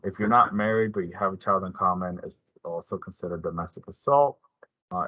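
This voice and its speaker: A man's voice: deep voice, pushed and bright, breathy edge, no echo, dull audio. A man giving an informational presentation as a police detective, explaining things in a plain, informal way.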